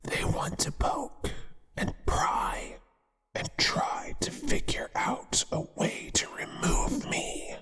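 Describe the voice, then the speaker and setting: Slow whispering spider monster lover. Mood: mocking, protective. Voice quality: breathy, hushed whisper. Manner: slow, crooning, deliberate. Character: possessive male spider monster, devoted, menacing lover. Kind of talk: whispered ASMR roleplay acting. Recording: long reverberant echo.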